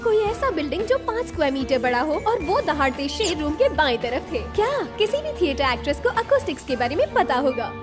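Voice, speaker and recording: A woman's voice: high-pitched, very animated, fast talker. A woman voicing a clever, analytical young girl spy in a cartoon dub, explaining things precisely. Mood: thoughtful. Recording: music playing under the voice.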